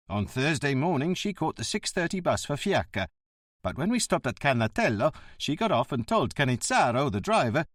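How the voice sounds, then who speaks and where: A man's voice: a little high, a bit bright, no room sound, clean studio sound, pitched above the performer's own voice. A man voicing a surly, reed-thin bus driver of about fifty who talks bluntly and sounds aggrieved. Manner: blunt, aggrieved, sticks to the rules.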